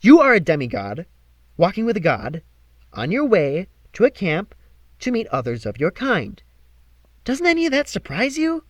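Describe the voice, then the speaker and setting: A man's voice: sits high, huge pitch swings, moderate pace, no room sound, pitched above the performer's own voice, heavily acted. A man voicing a vain, melodramatic fallen god in a teenage boy's body, indignant and whiny, as in an audiobook. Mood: incredulous. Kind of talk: first-person audiobook character acting.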